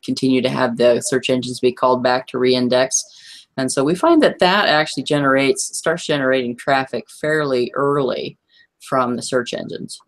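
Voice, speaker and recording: Hoarse woman explaining a system chart. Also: rumbling low, moderate pace. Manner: explanatory, checks in with listeners. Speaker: guest presenter. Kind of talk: webinar presentation walking through a chart.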